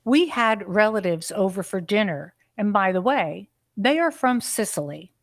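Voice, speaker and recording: A woman's voice reading out a listener's letter in an even, measured way. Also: medium pitch, no echo, clean studio sound.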